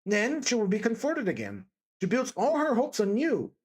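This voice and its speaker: A woman voicing a timid, distressed young woman in an audiobook, pleading fervently with halting repetitions. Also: a little high, huge pitch swings, a little mellow, breathy edge, pitched above the performer's own voice, exaggerated voice. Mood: desperate, pleading. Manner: halting, stammering, breathless repetitions and emphatic exclamations. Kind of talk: dramatised audiobook character dialogue.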